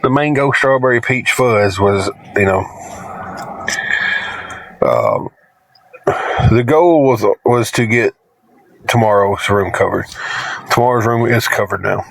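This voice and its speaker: Raspy Southern man, halting livestream talk. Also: lowish voice, fairly bright tone, no echo, low-quality recording. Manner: halting, repeats words, apologetic. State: stuffy nose. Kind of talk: casual livestream chat.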